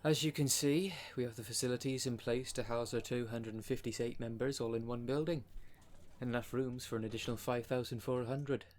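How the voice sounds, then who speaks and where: A man playing a smooth-talking, con-artist female minister of a demon cult in an ASMR roleplay, with a polished, unctuous sales manner. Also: mid-range voice, fairly even intonation, a little fast, a little mellow, slight background noise, lightly acted. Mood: welcoming. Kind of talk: ASMR roleplay audio drama.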